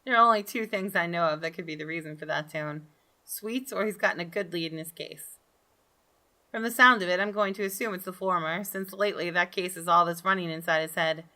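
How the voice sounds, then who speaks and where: A woman reading a visual novel aloud as expressive, dramatic storytelling for a gaming video. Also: fairly low pitch, a little fast. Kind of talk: expressive scripted narration with brief asides. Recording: game music behind the voice. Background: soft game music.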